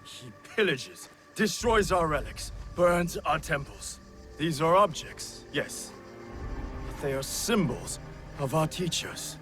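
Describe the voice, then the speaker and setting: A proud disowned warrior man in a game cutscene. He speaks slowly and impassioned, with very animated pitch. He broods theatrically over clear background music. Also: full character voice. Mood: brooding. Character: proud, bitter, defiant disowned warrior monk's son. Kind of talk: dramatic video game cutscene acting.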